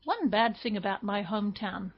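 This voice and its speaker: Aussie woman, mid pitch, matter of fact, bit muffled. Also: animated, moderate pace, husky. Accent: Australian. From Australia. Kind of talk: casual spoken answer. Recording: slightly muffled recording.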